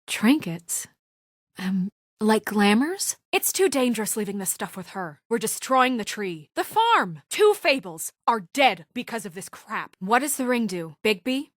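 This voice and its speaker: Brisk, higher-voiced game heroine in charge. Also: female voice, fairly bright tone, dry recording, clean studio sound. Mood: stern, indignant. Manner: crisp, businesslike, earnest, firm. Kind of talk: naturalistic video game voice acting.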